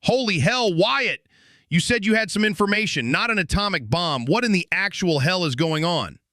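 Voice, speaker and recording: A man's voice: sits high, animated, normal speed, pushed and bright, no room sound, studio quality. A man playing a brash, showy conspiracy radio host in an audio drama, with an emphatic, folksy announcer delivery. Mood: agitated, incredulous. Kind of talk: bombastic talk radio hosting in an audio drama.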